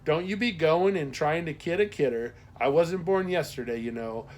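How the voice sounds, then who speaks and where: A man's voice: fairly high pitch, moderate pace, a little mellow, voice pushed up, close to an everyday voice. A man voicing a matronly small-town postmaster woman in an audiobook, folksy, gossipy and teasing.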